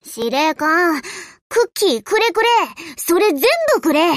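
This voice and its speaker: A woman's voice: extremely high pitch, sing-song, measured pace, no echo, studio quality, exaggerated voice. A woman voicing young anime warship girls, playful, earnest and affectionate, in game voice lines. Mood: playful teasing.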